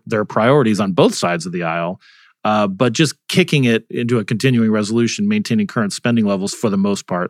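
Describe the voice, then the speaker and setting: A man's voice: lowish voice, no echo, studio quality. A man hosting a conversational political news discussion, asking questions in a rambling, self-interrupting way. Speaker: host.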